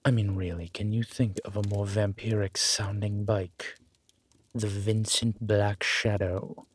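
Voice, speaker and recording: Man acting as centuries-old vampire, urbane, formal, unhurried speech, lowish pitch, dry condescension, roleplay audio. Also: level tone, breathy edge. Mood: smug, fond. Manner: measured, formal, deliberate, drawn-out phrasing. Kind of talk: scripted audio roleplay drama.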